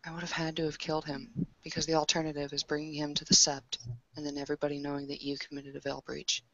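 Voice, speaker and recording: A woman's voice: deep voice, monotone, fast, a bit bright, lo-fi sound, pitched below the performer's own voice. A woman playing a suspicious, blunt and protective young woman in a tabletop roleplaying game. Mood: stern, upset.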